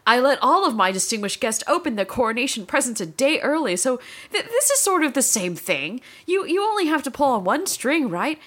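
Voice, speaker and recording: A woman doing a theatrical underworld queen who sighs and swoons through her lines. Her voice sits a little high and ranges widely in this comedic audio story. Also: very animated, brisk, few pauses, fairly bright tone. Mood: melodramatic. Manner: melodramatic, sighing. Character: dramatic gorgon queen, theatrical and moody.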